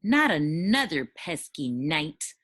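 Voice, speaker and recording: A woman's voice: low voice, sing-song, slow pace, a bit bright, full character voice. A woman playing an enormous male dragon in a children's storybook, grumpy yet pleading and childishly eager. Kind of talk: children's storybook read-aloud.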